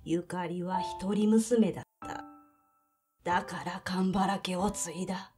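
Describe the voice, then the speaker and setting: A woman's voice: fairly low pitch, steady tone, faint music behind. An actress playing a plain-spoken grandmother in her eighties in an audio drama, reminiscing. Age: 80s. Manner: slow, reminiscing, folksy. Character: elderly Japanese grandmother, plain-spoken, reflective, devoted to family.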